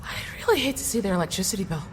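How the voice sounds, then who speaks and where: A woman's voice: medium pitch, animated, racing, lightly acted. A woman playing a skeptical companion. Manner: dismissive, matter-of-fact. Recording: reverb with background noise.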